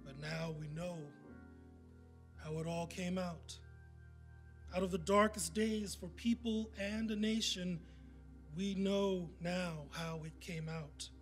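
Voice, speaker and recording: Male poet-reader, high pitch, very slow emphatic delivery, faint music behind. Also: fairly even intonation. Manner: emphatic, deliberate, dramatic pauses. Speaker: community reader at a podium. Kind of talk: dramatic poetry recitation. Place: indoor event room at a podium. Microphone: podium microphone on a stand. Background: faint background music.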